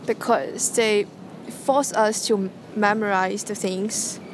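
A woman's voice: medium pitch, steady tone, takes it slowly. Hesitant Hong Kong woman with background noise. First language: Cantonese. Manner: hesitant, many restarts and hums. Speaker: interviewee, student. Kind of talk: casual interview answers.

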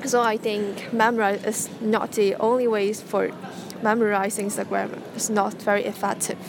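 A young woman from a Cantonese-speaking background with a Hong Kong accent, answering interview questions in English with hesitant pauses and restarts. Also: medium pitch, flat delivery, creaky. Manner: hesitant, many restarts and hums. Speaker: interviewee, student. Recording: noticeable background noise.

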